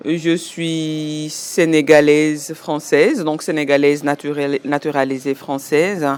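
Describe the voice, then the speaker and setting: Smooth-voiced woman in her forties. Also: sits low, little pitch movement, a little mellow. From Senegal. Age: 40s. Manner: measured, listing details step by step. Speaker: interviewee. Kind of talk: personal testimony.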